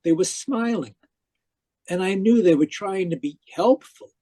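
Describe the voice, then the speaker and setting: An actor playing an obsessive, domineering uncle in an old-style radio mystery, with theatrical, emphatic delivery. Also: male voice, high-pitched, expressive, measured pace, dark tone, husky, heavily acted. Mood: grave, haunted narration. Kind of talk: 1940s radio mystery acting, narrating and dialogue. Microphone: video call. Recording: muffled-edged online video call.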